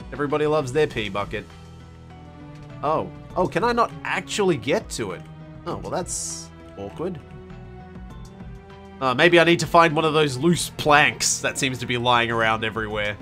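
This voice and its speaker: A man's voice: medium pitch, lively intonation, normal speed, sharp tone, soft background music. A young Australian man casually commenting on a game he is streaming, with wry, self-mocking asides and a slightly strained voice. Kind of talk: casual let's play commentary.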